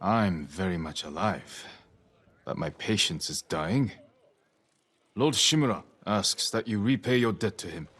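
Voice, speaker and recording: Stern low-voiced samurai lord. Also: male voice, a little slow, a bit bright, slightly rough, full character voice. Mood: grave, firm. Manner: grave, restrained, formal. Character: stern young samurai lord, terse and determined. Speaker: video game protagonist. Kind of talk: cinematic video game dialogue. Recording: music under the dialogue.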